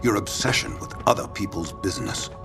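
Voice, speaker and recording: An actor playing a menacing, sardonic male mutant villain leader in a video game, speaking slowly and deliberately. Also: fairly low pitch, vocal fry. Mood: mocking. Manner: cold, sardonic, slow and deliberate. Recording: game audio with loud music behind.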